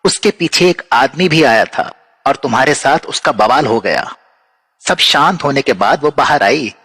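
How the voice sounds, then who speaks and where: A man acting as a composed, watchful undercover detective in an audio drama, explaining things in a measured way. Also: mid-range voice, a bit bright, slightly rough, heavily acted. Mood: probing. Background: background music.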